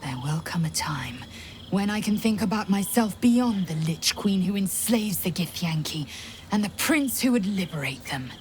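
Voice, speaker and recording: A woman's voice: mid-range voice, expressive, moderate pace, a little hiss or hum, natural voice. An actress playing a proud, fierce alien warrior woman in a video game, solemn, formal and intense. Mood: grave, brooding. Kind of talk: dramatic video game acting.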